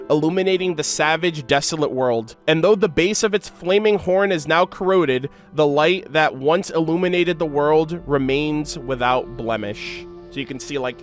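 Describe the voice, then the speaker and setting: Male lore streamer, slightly high voice, conversational and keen, music clearly audible underneath. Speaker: content creator hosting a game lore reaction. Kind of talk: casual streamer commentary with read-aloud game lore. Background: background music.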